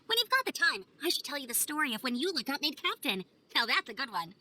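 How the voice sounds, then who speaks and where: A woman voicing a perky, energetic young female knight scout in a video game, with friendly, emphatic delivery. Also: high-pitched, fast, exaggerated voice. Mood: proud, teasing. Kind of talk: game cutscene voice acting.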